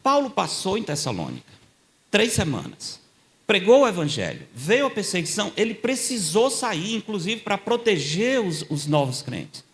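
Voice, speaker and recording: An older man preaching a sermon in a church. He tells stories in a conversational way, checks in with the congregation, and grows louder and higher when he quotes scripture. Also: wildly swooping pitch, moderate pace. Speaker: guest preacher. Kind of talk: sermon with storytelling.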